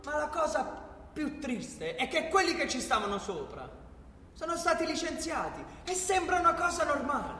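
A man's voice: very high-pitched, very animated, moderate pace, pushed and bright, a little hiss or hum. A man narrating a polemical radio play in an emphatic, declamatory, theatrical style. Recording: echoey hall with music.

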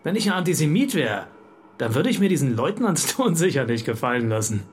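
A man's voice: a little high, sing-song, brisk. An actor playing a drunk, sentimental German businessman who insists on friendship in a radio drama. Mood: boozy, insistent.

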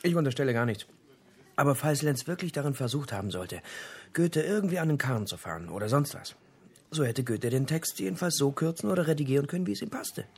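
An actor playing an investigator in a naturalistic radio drama, laying out findings in a dry, clipped way. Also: male voice, medium pitch, animated, fast, a little mellow, slightly rough. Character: male investigator, dryly explaining his findings.

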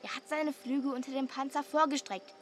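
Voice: sits high, steady tone, brisk, a bit bright, faint music behind. A performer playing a bossy, morbid young girl in a radio drama, childlike and taunting.

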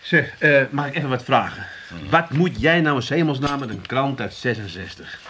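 An actor playing a defensive, forgetful small-time crook, the grown son of an Amsterdam family, with a local accent in a comic radio play. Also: male voice, medium pitch, expressive, normal speed, sharp tone, a little hiss or hum. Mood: puzzled.

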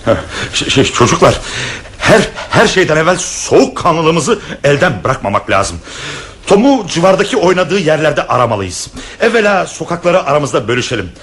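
An actor voicing a stern but soft-hearted professor father in a vintage radio play, scolding and then turning sheepish. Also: fairly high pitch, big pitch swings, normal speed, a little hiss or hum. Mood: flustered. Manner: scolds loudly, then turns sheepish and flustered. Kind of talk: 1960s radio drama acting.

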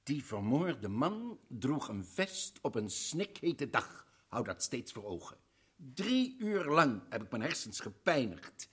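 An actor playing a shrewd, earnest old professor in a radio play, interrogating and growing excited. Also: male voice, medium pitch, slow, breathy edge, heavily acted. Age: older. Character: shrewd old male professor, eager sleuth.

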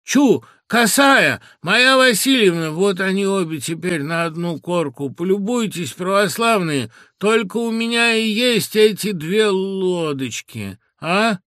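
A man's voice: sits high, expressive, unhurried, hardly any pauses, fairly bright tone, dry recording, studio quality, pitched above the performer's own voice. A man voicing a desperate, toothless old man who babbles and stammers in garbled repetitions in a dramatic story reading. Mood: frantic, straining.

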